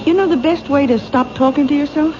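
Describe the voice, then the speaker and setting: An actress playing a nosy, chatty, wisecracking American diner waitress in a radio drama. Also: female voice, high-pitched, fairly quick, lightly acted. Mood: teasing, nosy. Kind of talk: old-time radio drama acting. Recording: noisy, muffled vintage radio broadcast.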